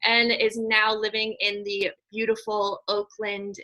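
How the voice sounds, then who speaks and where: A woman's voice: medium pitch, completely flat delivery, measured pace, pushed and bright, rough recording. An American woman hosting a podcast, introducing guests in an effusive, affectionate, informal and chatty way. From Nebraska, US. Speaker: podcast host. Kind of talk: casual conversational guest introductions.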